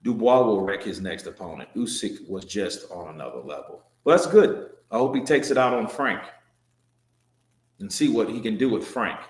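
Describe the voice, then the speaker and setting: A man with a lowish voice hosts a live boxing stream and chats through viewer comments. His talk is loose and joking, with swearing and restarts. The sound carries a roomy echo. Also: lively intonation, normal speed.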